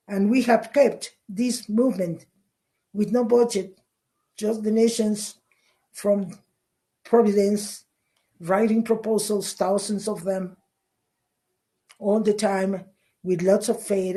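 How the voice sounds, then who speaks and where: A middle-aged woman from Mexico, a conservation leader speaking English, passionate and halting with fillers and repeats. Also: medium pitch, mellow, slightly rough. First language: Spanish. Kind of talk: interview answers.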